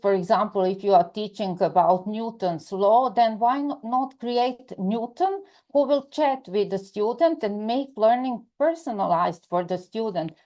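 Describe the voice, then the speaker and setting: A woman whose first language is Croatian, presenting in English with a Croatian accent, pausing often on filler sounds and restarting phrases, over a video call. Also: mid-range voice, dark tone. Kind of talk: online presentation.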